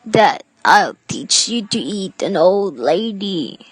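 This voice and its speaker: A woman playing a stern but kindly old grandmother with a creaky, raspy voice in a storybook radio drama. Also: medium pitch, animated, takes it slowly. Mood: stern, scolding. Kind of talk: amateur radio drama acting.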